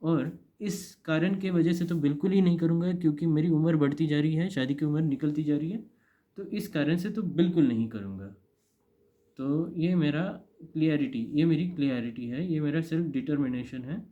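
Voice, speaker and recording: A man's voice: on the high side, moderate pace, warm tone. An Indian man in his thirties giving a casual, confessional monologue to camera, rambling and repeating phrases for emphasis. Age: 30s. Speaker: vlogger. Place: indoor room. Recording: dull, lo-fi.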